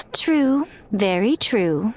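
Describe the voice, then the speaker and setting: A woman's voice: fairly high pitch, very animated, very slow, slight background noise, heavily acted. A woman playing an elegant, self-assured shrine priestess in a video game, coy and teasing with a drawl. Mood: mock sympathy.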